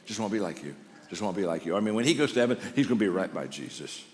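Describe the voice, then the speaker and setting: An older man preaching a sermon, telling stories in a warm, conversational way and often restarting his sentences. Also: lowish voice, animated, rapid-fire, slight background noise. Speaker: pastor. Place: large church auditorium. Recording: long reverb of a large hall.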